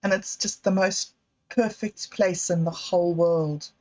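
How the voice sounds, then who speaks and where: A woman who grew up in Zimbabwe, telling rambling personal stories on a livestream with frequent laughs and trailing pauses. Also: on the low side, fairly even intonation, warm tone. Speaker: livestream host. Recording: dull lo-fi sound.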